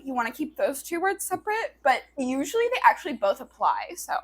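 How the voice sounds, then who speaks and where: High-pitched young woman, wry and chatty. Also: big pitch swings, fairly quick. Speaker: podcast co-host. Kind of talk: casual book review chat.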